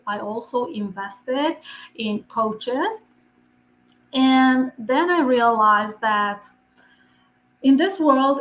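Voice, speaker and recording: A woman with a Romanian accent speaks slowly and earnestly as a guest, English being her second language. Her voice is slightly high, and the room around her rings with echo. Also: fairly bright tone, a little hiss or hum. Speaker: podcast guest, visibility expert. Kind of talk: podcast interview. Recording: echoey room.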